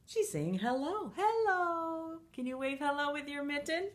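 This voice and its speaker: A woman's voice: high-pitched, animated, a little slow. A middle-aged woman reading a picture book aloud to young children in a playful, sing-song manner. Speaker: children's storytime reader.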